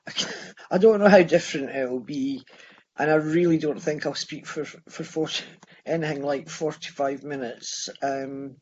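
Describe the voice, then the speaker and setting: A Scottish man in his sixties sharing his life story at a recovery meeting, halting and restarting as he goes. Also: a little high, a little mellow, lo-fi sound. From Scotland. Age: 60s. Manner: halting, with many restarts and pauses. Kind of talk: personal testimony.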